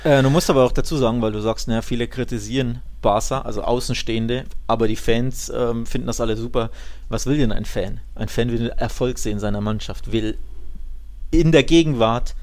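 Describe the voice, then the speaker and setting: Man, mid-pitched voice, casual football podcast host, opinionated, emphatic, colloquial. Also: a little fast, darkish tone.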